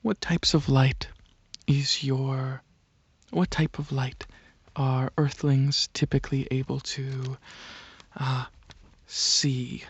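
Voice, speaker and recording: A man performing soft-spoken ASMR roleplay as a calm alien dragon examiner, hesitant and clinical, with a breathy voice that slips into whispers. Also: medium pitch, dry recording, dull audio. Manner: hesitant, pausing, clinical questioning.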